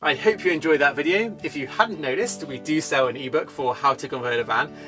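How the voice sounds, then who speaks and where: A man in his thirties, a channel host delivering an outro pitch to camera. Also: brisk. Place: small wood-panelled room. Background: guitar music.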